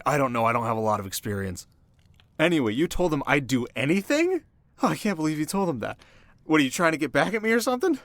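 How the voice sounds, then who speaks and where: A man playing a cocky but nervous and eager teenage delinquent boy in an audio roleplay, talking in a casual, animated, earnest way. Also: mid-range voice, no room sound. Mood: flustered.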